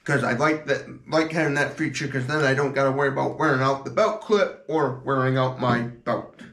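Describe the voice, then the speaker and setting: A man's voice: mid-range voice, brisk, a bit bright. A man giving a casual, unscripted product review in a rambling, repetitive, plain-spoken way. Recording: echoey room sound.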